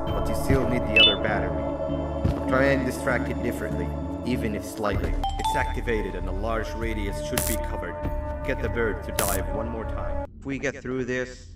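A sardonic red devil mascot from a video game, played by a man, low in pitch and wry. He sounds world-weary but loyal, echoing and set back from the mic with music behind. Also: close to an everyday voice. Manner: wry, conversational, exclamatory when pressed. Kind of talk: video game character acting. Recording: echoey, distant, music behind.

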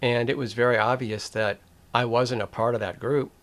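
An older man hosting a commentary video, talking straight to the camera in a slow, reflective way, with pauses, restarts and a wry edge. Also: medium pitch, steady tone, fairly bright tone. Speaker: video essay host.

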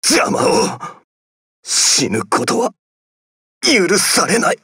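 A man voicing a ruthless, tormented undead warrior in an anime-style game, husky, grim and intense. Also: high voice, huge pitch swings, takes it slowly, sharp tone, no echo, clean studio sound, full character voice. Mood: anguished.

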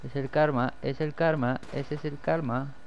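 A young man with a Mexican accent doing excitable live commentary over a shooter game, cursing whenever he gets killed. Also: mid-range voice, normal speed, muffled recording, a little hiss or hum. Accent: Mexican Spanish. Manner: excitable, swears when killed. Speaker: gameplay commentator.

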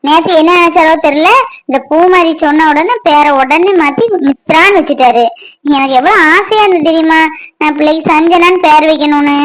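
A woman's voice: high-pitched, brisk, a bit bright, low-quality recording. An actress in her twenties playing a young mother who complains with irritation in a family drama. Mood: irritated. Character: annoyed young mother, new baby. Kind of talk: web serial drama acting.

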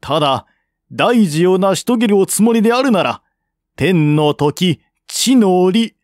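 A man reading as a courteous envoy, pitched high. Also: animated, unhurried, a little mellow, no echo, clean studio sound. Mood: earnest, persuading. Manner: polite, formal, reasoning step by step. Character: courteous, shrewd male envoy negotiating peace.

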